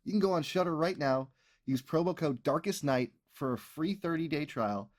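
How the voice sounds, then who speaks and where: A man's voice: fairly high pitch, animated, normal speed. A man hosting a podcast, giving a casual, bantering sponsor promo. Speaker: podcast creator and host reading a sponsor promo.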